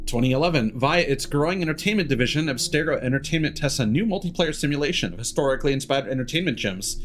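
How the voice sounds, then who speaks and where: A man's voice: medium pitch, expressive, quick pace. A man streaming a game, reading text aloud and adding cheeky, sardonic asides. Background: music.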